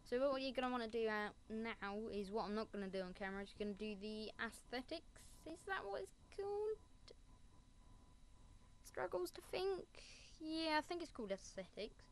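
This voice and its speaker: A woman's voice: fairly high pitch, animated, normal speed, a little hiss or hum. A woman giving a casual Minecraft building tutorial, rambling and making self-mocking asides. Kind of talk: casual gameplay tutorial commentary.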